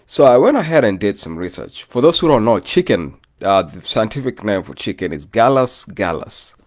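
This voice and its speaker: A man's voice: on the low side, sing-song, moderate pace. A man with a Kenyan accent, chatting loosely to his viewers as a vlogger and often calling them "bro". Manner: loose and chatty, often says "bro", greets live commenters. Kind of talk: casual rambling chat to viewers.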